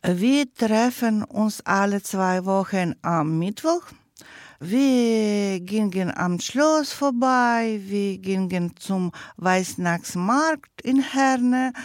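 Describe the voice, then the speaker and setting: A woman from Ukraine, speaking German as a learner in an interview, hesitant and searching for words with many pauses. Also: mid-range voice, animated, no room sound, clean studio sound. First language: not German. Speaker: guest, project participant.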